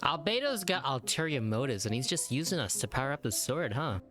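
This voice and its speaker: Very low-pitched woman reacting to a game. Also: big pitch swings, fairly quick, fairly bright tone, faint music behind. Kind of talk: casual reaction commentary.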